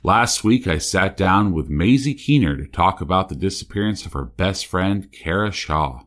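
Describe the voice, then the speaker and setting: A man playing a true-crime podcast host, delivering scripted narration in a measured, deliberate way. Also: low voice, lively intonation, fairly bright tone, slight background noise. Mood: serious. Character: male true-crime podcast host, solemn small-town investigator.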